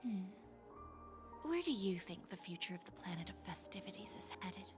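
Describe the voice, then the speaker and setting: A woman playing a composed, shrewd, elegant businesswoman in a video game, speaking in a measured, cryptic, teasing way. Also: medium pitch, expressive, lightly acted. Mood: calm, silky. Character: composed, shrewd, elegant older-sister businesswoman, coolly calculating. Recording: game audio with prominent background music.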